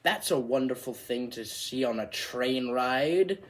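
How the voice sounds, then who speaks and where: A man performing a monologue as an animated, exasperated Irish comedian, telling a story with emphatic, theatrical delivery. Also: medium pitch, unhurried, close to an everyday voice.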